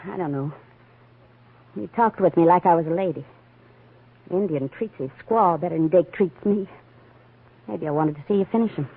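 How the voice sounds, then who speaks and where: An actress playing a weary, anxious frontier woman with plain folksy speech and a husky voice in a 1950s radio western. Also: lowish voice, moderate pace, pauses often. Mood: wistful, weary. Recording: narrow vintage 1950s radio recording.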